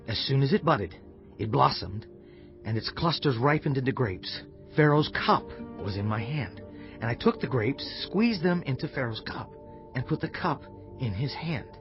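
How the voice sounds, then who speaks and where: An actor playing a respectful Egyptian royal cupbearer in a dramatized scripture reading, recounting things formally and deliberately. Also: male voice, medium pitch, lively intonation, lo-fi sound, music in the background, natural voice. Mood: troubled, recounting.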